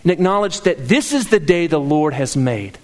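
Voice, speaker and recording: High-voiced preacher in a reverberant hall. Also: male voice, expressive, normal speed, darkish tone. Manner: earnest, builds emphasis with repeated phrases. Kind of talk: sermon.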